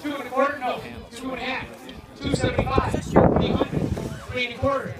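A man working as an auctioneer, calling bids in a quick repeating chant at an outdoor yard auction. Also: extremely high pitch, level tone, distant mic, heavy background noise. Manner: rapid rhythmic bid chant. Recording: echoey loudspeaker with loud crowd, from afar.

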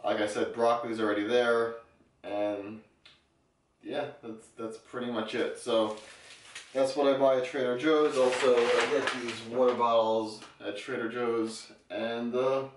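A young man talks to the camera in a relaxed, easygoing way, explaining his routine with plenty of "um" and "like". His voice sits at an ordinary middle pitch. A faint hiss of background noise runs under the recording. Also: measured pace. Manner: relaxed, explanatory, filler-heavy. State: getting sick. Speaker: fitness vlogger. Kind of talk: casual vlog talk to camera.

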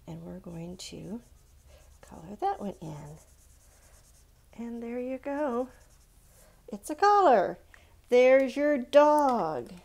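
Lady walking little kids thru a drawing step by step, unhurried, soft mellow voice. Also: a little high, sing-song, vocal fry. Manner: simple, encouraging, instructional, asks the listener questions. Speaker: children's drawing teacher. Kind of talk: step-by-step art tutorial for young children.

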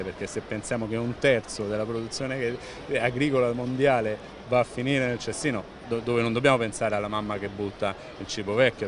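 A man in his fifties with an Italian accent, giving earnest, fluent interview answers into a handheld microphone in a large indoor expo hall, with frequent "eh" fillers and restarts. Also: lowish voice. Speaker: president of an environmental organization. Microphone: handheld reporter microphone held close. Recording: noisy venue background.

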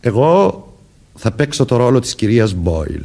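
A man's voice: mid-range voice, very animated, creaky, darkish tone. An actor playing a murderer who poses as a commanding policeman, vengeful and childlike underneath, in a theatrical radio drama manner. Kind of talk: Greek radio drama acting.

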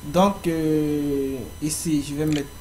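A young man giving a screen-recorded walkthrough of an online application, pausing often with fillers as he explains each step. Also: a little high, fairly even intonation, darkish tone. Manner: halting, frequent fillers. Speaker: tutorial presenter. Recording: faint background noise.

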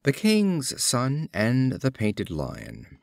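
Male audiobook reader for fables: unhurried, animated intonation, expressive storytelling. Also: medium pitch, gravelly, dry recording, studio quality. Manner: measured, expressive storytelling delivery. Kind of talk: scripted storybook narration of fables.

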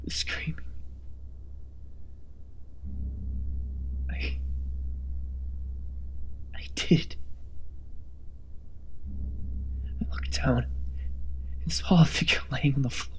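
A woman voicing a terrified, guilt-ridden husband in a first-person horror story, halting and breathy, often dropping to a whisper. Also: deep voice, warm tone, full character voice. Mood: horrified realization. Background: background music.

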